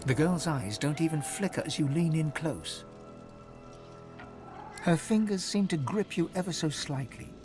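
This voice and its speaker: A man playing a calm storyteller who narrates a fantasy video game in a measured, descriptive way. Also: a little high, over music. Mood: calm, hushed. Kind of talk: scripted narration.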